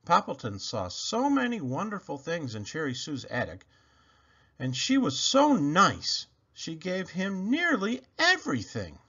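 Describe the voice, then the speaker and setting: Male storybook reader, hugely varied pitch, bright tone with some huskiness, children's narration. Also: huge pitch swings, unhurried, slightly rough, muffled recording. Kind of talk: animated children's read-aloud narration.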